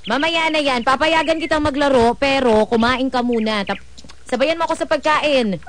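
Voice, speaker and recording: A woman's voice: high voice, normal speed, slight background noise, close to an everyday voice. A woman playing an exasperated, pleading mother in a radio drama, nagging and emotional. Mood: exasperated, scolding. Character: exasperated, pleading working mother of a teenage son.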